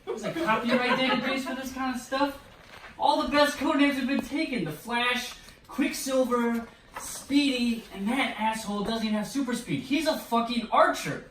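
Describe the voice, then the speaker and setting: A woman's voice: a little high, normal speed, fairly bright tone, lightly acted. A woman playing a brash, exasperated superhero speedster who gripes, in a read-through of a play. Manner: brash, griping. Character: exasperated, foul-mouthed young speedster hero seeking a code name. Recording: echoey room, distant mic, faint noise.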